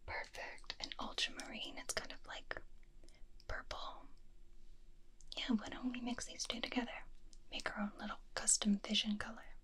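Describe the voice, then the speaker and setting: A woman in her twenties doing a soft, doting, breathy ASMR personal-attention roleplay, close on a binaural microphone. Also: a little high, flat delivery, normal speed, pauses often. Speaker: ASMR performer.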